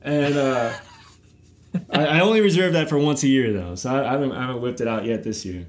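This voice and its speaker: Man, middle-aged, podcast host, casual, animated, laughs easily, restarts sentences. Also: mid-range voice, fairly quick, noisy background. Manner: animated, laughs easily, repeats words when starting. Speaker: podcast host and youth baseball coach.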